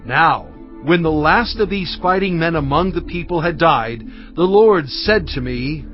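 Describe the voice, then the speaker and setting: Solemn old testament leader telling the journey, voice on the higher side, measured, music under it. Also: male voice, expressive, dull audio. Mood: solemn recounting. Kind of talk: dramatized audio Bible narration.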